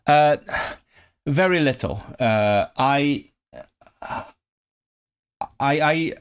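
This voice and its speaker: A man's voice: fairly high pitch, drawn-out words, smooth. A young man streaming live, chatting casually with viewers about his tutoring work, stopping and restarting as he thinks aloud. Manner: hesitant, many restarts and fillers, thinking aloud.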